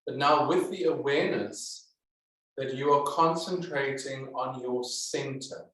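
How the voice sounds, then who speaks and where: A man teaching yoga and guiding meditation in a calm, deliberate manner with many pauses. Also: mid-range voice. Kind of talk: guided yoga and meditation instruction. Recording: echoey, roomy sound.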